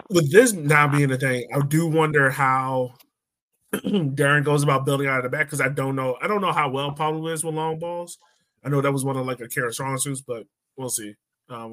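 A man's voice: mid-range voice, fairly bright tone, poor audio. Man hosting a casual sports podcast. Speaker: podcast host.

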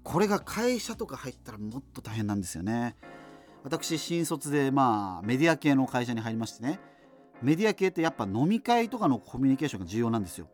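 An actor playing a wry, self-deprecating radio host in a doomed future, reminiscing in a digressive, hesitant conversational monologue. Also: male voice, medium pitch, very animated, fast talker, darkish tone, soft background music, natural voice. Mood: wry, rueful.

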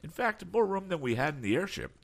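An earnest young inventor from an adventure story, read by a man. He is upbeat and plain-spoken, with a lively pitch a bit above a deep register. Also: a little fast. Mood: reassuring.

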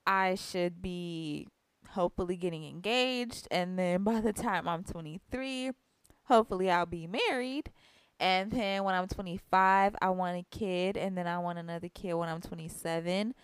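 Rambling young woman, low and smooth. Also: normal speed, no echo. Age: 20s. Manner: rambling, lots of "like" and "you know". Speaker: podcast co-host. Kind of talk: casual chat.

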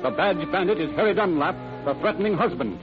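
A man's voice: high voice, expressive, quick pace. A man giving formal, dramatic scripted narration for an old-time radio crime drama. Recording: dull vintage radio recording with music. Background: music.

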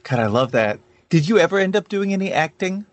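A man's voice: high-pitched, animated, a little fast, no echo. A man hosting a casual interview, informal and enthusiastic as he chats with his guest. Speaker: podcast host and interviewer. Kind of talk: casual chat.

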